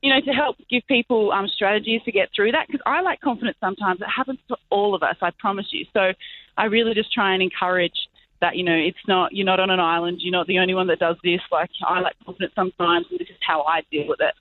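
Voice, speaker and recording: An upbeat young Australian woman talks fast and freely over a tinny phone line. Her voice is fairly low for a woman. She fills her speech with "like" and "you know". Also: no room sound. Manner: upbeat, talkative, many "like" and "you know" fillers. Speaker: guest, professional basketball player. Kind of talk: casual interview chat.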